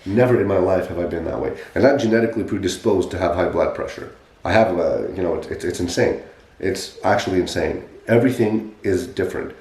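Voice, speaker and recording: A man in his forties telling his own fitness story in a casual podcast chat, animated and emphatic, with frequent restarts. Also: sits low, brisk. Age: 40s. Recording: echoey room.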